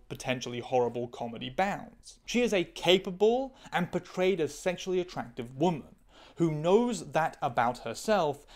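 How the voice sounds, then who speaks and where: A woman's voice: deep voice, darkish tone. A woman reading her own scripted video essay, candid, opinionated and analytical. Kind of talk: scripted commentary.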